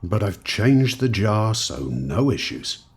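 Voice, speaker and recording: Deep, terse, dry companion. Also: male voice, sing-song, slow, slightly rough, heavily acted. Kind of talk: audio drama acting.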